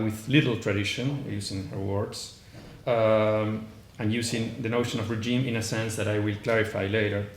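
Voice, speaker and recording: A man with an Argentine accent delivers a philosophy paper at a conference, his voice sitting a little low. He reads from notes, hesitating often and restarting words. The recording carries the echo of a large room, with a faint hiss of noise underneath. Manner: hesitant, many "uh" fillers and restarts. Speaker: conference panelist. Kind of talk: academic lecture read from notes.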